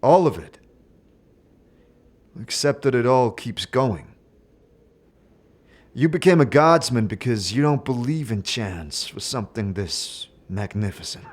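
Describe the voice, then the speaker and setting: A man playing a calm, direct wanderer who questions persistently in a fantasy audio drama. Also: mid-range voice, measured pace, pauses often. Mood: earnest, persuading.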